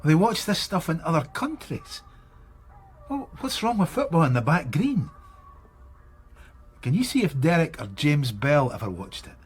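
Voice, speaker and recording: An actor playing a weary middle-aged police detective in a radio drama, with a Scottish accent and a dry, wry manner. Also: male voice, on the high side, big pitch swings, measured pace, long pauses, slightly rough, full character voice.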